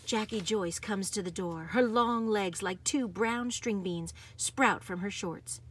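A woman reading a picture book aloud in an expressive, dramatic manner. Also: medium pitch, unhurried, husky. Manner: animated, dramatic, varies from hushed to exuberant. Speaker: storybook reader.

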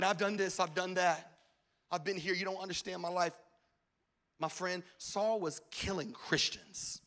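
Man, pastor, sermon, forties, high voice, shouting then hushed, handheld mic, church stage. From United States. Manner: swings from shouted preaching to hushed intimate talk. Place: large church stage with audience.

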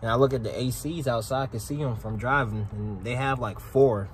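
A man's voice: medium pitch, fairly quick. A young man chatting casually and informally in slangy speech, as a technician vlogging on the job. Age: young adult. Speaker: rookie HVAC technician vlogging. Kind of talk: casual vlog chat. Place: outdoors beside air-conditioning units and vehicle. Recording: very noisy outdoor phone audio, vehicle sounds.

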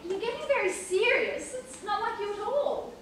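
Woman playing a very high-voiced prim old lady. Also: expressive, normal speed. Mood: matter-of-fact. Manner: scolding, matter-of-fact. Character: prim, reproving, patient elderly woman in a care home. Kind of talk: amateur stage play acting. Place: theatre stage, indoors. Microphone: distant camera microphone. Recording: echoey theatre stage from far off, faint noise.

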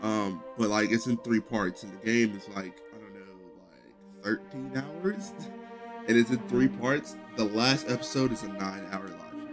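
A young man with a low voice chatting loosely to his stream audience from a headset in his bedroom, rambling with many fillers and restarts. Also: measured pace, music in the background. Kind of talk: casual live-stream chat. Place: small bedroom streaming setup indoors.

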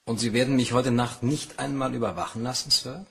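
An actor playing a meticulous, stoic bank cashier, formal and deferential, in a crime radio play. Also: male voice, mid-range voice, steady tone, a little fast, vocal fry, husky. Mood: formal, subdued. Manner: formal, stiffly polite.

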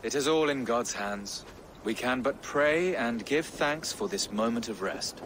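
A careful, pious bishop. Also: male voice, medium pitch, animated, fairly bright tone, slight background noise. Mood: grave. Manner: measured, prayerful, cautious. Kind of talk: video game cutscene acting.

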